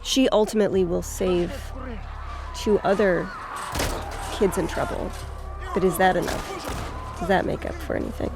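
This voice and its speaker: A woman's voice: lowish voice, unhurried, pauses often, background noise. A woman giving casual commentary in a group discussion. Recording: music behind the voice. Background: loud music.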